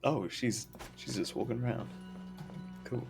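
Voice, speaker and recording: A man's voice: mid-range voice, measured pace, vocal fry. A young man giving casual, rambling, hesitant commentary while streaming a game. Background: faint game music.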